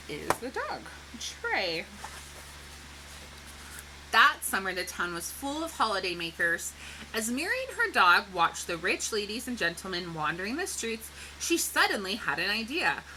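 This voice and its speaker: A woman's voice: a little high, bright, forceful tone, a little hiss or hum, low-quality recording. A woman reading a children's book aloud expressively, with dramatic emphasis and a teacherly way of explaining. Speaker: storybook reader.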